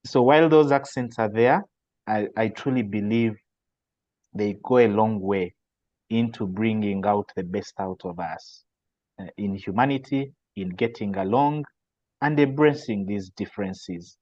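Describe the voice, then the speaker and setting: A man's voice: fairly low pitch, a little slow, a little mellow, no room sound. Earnest Ugandan man, dry lo-fi call. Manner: earnest, hesitant restarts. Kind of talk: casual reflective talk. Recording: dry, dull lo-fi call audio.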